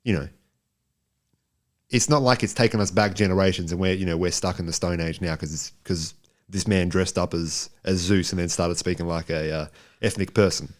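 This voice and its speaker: A man's voice: sits low, normal speed. A man co-hosting a podcast, giving a casual film review in a rambling, jokey way and repeating himself for emphasis. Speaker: podcast co-host.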